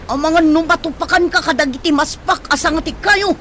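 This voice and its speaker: A woman's voice: extremely high pitch, fairly quick, fairly bright tone. A woman playing a worried, exclaiming companion caught in a storm in a Philippine radio drama. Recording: faint storm-like noise.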